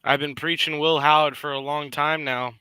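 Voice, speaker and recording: A man's voice: a little high, little pitch movement, moderate pace, pushed and bright, clean tone, no echo. A man on a sports stream confidently stating his picks and opinions.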